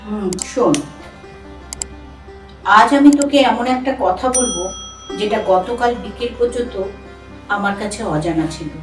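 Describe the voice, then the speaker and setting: A woman playing a troubled, protective elder sister in an audio drama, with emotional, expressive delivery. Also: mid-range voice, unhurried, pauses often, lightly acted. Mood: troubled, resolute. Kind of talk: Bengali audio drama acting. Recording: echoey room, distant mic, music bed.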